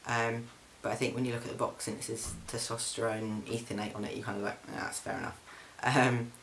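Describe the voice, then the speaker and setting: A man's voice: medium pitch, flat delivery, brisk, gravelly, a bit bright, slight background noise. A young man, talking through a casual video diary update with lots of ums and restarts, recorded indoors at home. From England. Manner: chatty, frequent ums and restarts. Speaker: vlogger. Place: flat, indoors.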